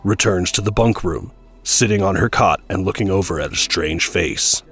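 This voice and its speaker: A man's voice: sits low, moderate pace, a bit bright, slightly rough, soft background music. A man reading scripted narration for an audio drama.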